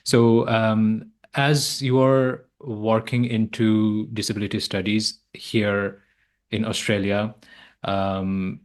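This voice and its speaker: A man's voice: lowish voice, little pitch movement, slow. A man hosting a podcast interview, asking long questions and answering replies with encouraging words like wonderful. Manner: encouraging, says "wonderful" often. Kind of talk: conversational interview.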